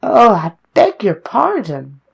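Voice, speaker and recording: Woman acting timid young woman, creaky husky voice. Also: mid-range voice, huge pitch swings, slow, lo-fi sound, exaggerated voice. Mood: timid, apologetic. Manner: meek, hesitant, deferential. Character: timid, polite, apologetic young woman starting a new job. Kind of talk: old-time radio suspense drama acting.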